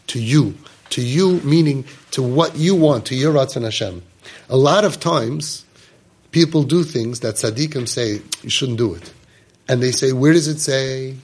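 Husky-voiced rabbi giving an animated class. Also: male voice, medium pitch. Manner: animated, mixes Hebrew and Yiddish words into English. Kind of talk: Torah class lecture.

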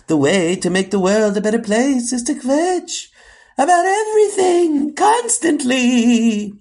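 A man voicing an eerie, whining villain in a children's audio comedy, with a spooky, theatrical, drawn-out delivery. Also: extremely high pitch, sing-song, darkish tone, full character voice. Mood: spooky urging. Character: eerie whining villain who urges constant complaining.

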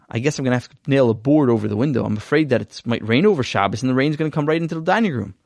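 Man playing a weary dad: calm, patient, sighs often, no room sound. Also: medium pitch, fast, vocal fry, no room sound. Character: patient, weary adult father.

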